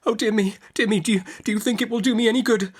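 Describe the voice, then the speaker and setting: A man's voice: high-pitched, steady tone, fast, slightly rough, voice pushed up. A man voicing a meek, tearful young widowed woman in a classic novel audiobook, with a faltering, plaintive and deferential manner. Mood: trembling, helpless.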